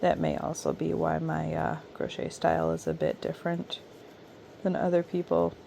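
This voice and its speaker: Hoarse, gravelly low-voiced woman. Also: fairly even intonation, a little hiss or hum. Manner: soft, unhurried, trailing off with ums. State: hoarse, raspy, coughing. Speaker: crochet vlogger. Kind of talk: casual late-night chat while crocheting. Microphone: camera mic.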